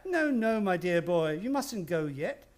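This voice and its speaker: An actor playing a sly, menacing old villain in a radio suspense play, speaking with unctuous, theatrical courtesy. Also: male voice, high voice, very animated, measured pace. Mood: mock-kindly. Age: older. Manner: mock-polite, unctuous, theatrical.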